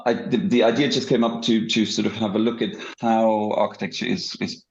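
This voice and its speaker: A very low-voiced middle-aged man with a German accent and a gravelly creak. He talks as an academic guest in an interview, explaining in a halting, hesitant way. The audio is a little dull and muffled. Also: little pitch movement. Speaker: guest, academic researcher and book editor. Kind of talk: conversational podcast interview account.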